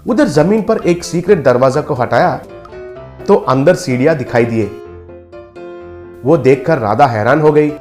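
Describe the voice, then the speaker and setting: A man's voice: on the high side, expressive, unhurried, long pauses, a little mellow, soft background music. A man reading scripted narration as the storyteller of a tale.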